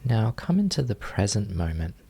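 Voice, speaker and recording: A man leading a guided meditation as a teacher, speaking in a gentle, unhurried manner with long pauses. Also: on the low side, sing-song, vocal fry, slight background noise.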